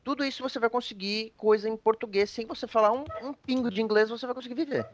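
A man's voice: very high-pitched, fast. A man with a Brazilian accent telling personal anecdotes in a casual, slangy, rambling conversational way.

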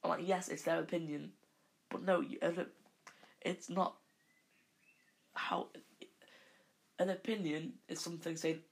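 A man hosting a casual podcast who rambles through his monologue. His voice is croaky, and he keeps correcting himself. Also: a little high, steady tone, a little slow, pauses often. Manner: rambling, with frequent self-corrections. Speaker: podcast host.

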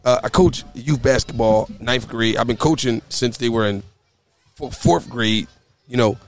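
A hoarse man hosting a casual talk show, often stammering and repeating his words as he speaks outdoors. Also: medium pitch, a little slow. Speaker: podcast host and youth basketball coach. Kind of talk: casual chat. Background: faint background music.

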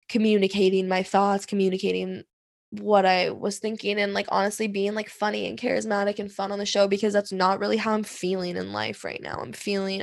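Raspy young woman hosting a candid podcast. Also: medium pitch, dry recording. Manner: candid, rambling, many fillers and restarts. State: hoarse from singing. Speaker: podcast host. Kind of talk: candid solo podcast talk.

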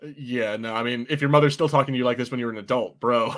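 A relaxed man co-hosting a podcast at an ordinary middle pitch. He chats loosely, laughs a lot and now and then slips into quoted voices. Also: quick pace. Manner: laid-back, laughing, puts on quoted voices. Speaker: podcast co-host. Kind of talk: casual chat reading live chat comments.

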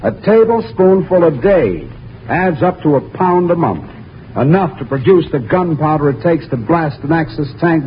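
A muffled old radio recording with faint noise carries a man announcer. His voice is fairly high, earnest and emphatic, in polished 1940s style.

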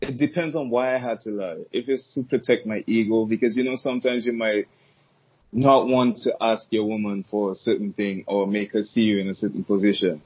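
A man's voice: lowish voice, fairly even intonation, brisk, husky, no echo. A man talking casually as a podcast guest, easygoing and rambling, with fillers and restarts. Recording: dull lo-fi sound.

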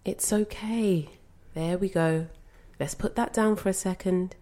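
A woman's voice: medium pitch, unhurried, dark tone, close to an everyday voice. A young woman acting in an audio play as a caring, anxious granddaughter, coaxing and earnest. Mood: reassuring.

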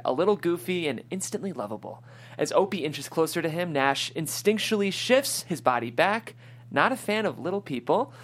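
A man's voice: fairly high pitch, fairly bright tone. A man hosting a script reading and narrating stage directions in a descriptive storytelling style.

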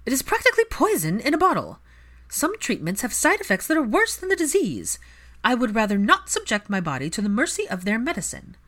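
A woman voicing an aloof, proud aristocratic mother in an audiobook story, speaking in a measured, emphatic, haughty manner. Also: on the high side, wildly swooping pitch, fairly bright tone, voice pushed up, heavily acted. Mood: haughty, defiant.

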